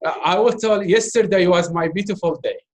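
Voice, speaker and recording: Arabic-speaking man, halting English. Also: high voice. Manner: halting non-native English with many fillers and restarts. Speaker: interview guest, doctoral student. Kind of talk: casual Q&A talk.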